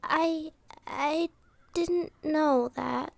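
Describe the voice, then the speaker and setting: A woman's voice: high-pitched, animated, slow pace, no echo. A woman playing a wary, defiant teenage village girl in a fantasy audio roleplay, with a guarded, hesitant manner. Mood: unsure, surprised. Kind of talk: scripted audio roleplay acting.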